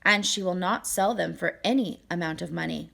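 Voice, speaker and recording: A woman's voice: lowish voice, normal speed, vocal fry, a bit bright, heavily acted. A woman voicing a dutiful male soldier in a storybook, reporting to his king in a deferential, matter-of-fact way.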